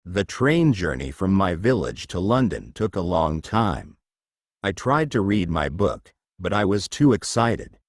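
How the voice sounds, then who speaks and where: Male storyteller, low voice, unhurried and measured, animated intonation for a children's learning text. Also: dry recording, studio quality. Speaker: audiobook narrator of a children's textbook story. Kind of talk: scripted story narration.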